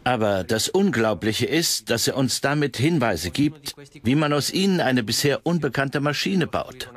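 A man's voice: mid-range voice, expressive, normal speed. A man giving an expert's interview statements in a documentary voice-over.

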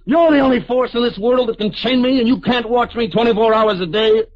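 An actor playing a defiant, selfish, hot-tempered killer in a vintage American radio drama. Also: male voice, very high voice, brisk. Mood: desperate defiance. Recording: dull, band-limited old radio recording.

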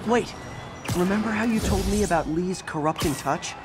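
An actor playing an eager, wisecracking young American superhero scientist in a video game, chatty and a little hesitant. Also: male voice, high voice, normal speed, over music, natural voice. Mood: chatty, curious. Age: young adult. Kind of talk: naturalistic video game voice acting. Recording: game audio with clear background noise.

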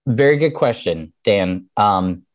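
A man's voice: on the low side, lively intonation, slow pace. A man in his thirties presenting an online seminar over a video call, explaining plainly in a casual way and often checking in with "right?". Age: 30s. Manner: conversational, explanatory, with frequent "um" and "right?". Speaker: mortgage lender teaching a webinar.

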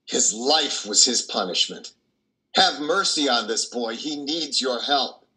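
A man's voice: medium pitch, expressive, takes it slowly, pushed and bright, exaggerated voice, poor audio. An older man playing a compassionate, anguished priest, earnest and pleading, in a stage play reading. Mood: solemn, earnest. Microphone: video call.

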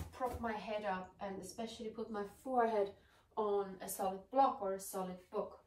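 A woman in her thirties with a blocked nose from a cold, guiding a yoga session in a gentle, soothing way from across a bare living room. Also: on the high side. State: congested with a cold. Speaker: yoga teacher. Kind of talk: guided yoga instruction. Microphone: far camera. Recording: echoey room, mic far off.

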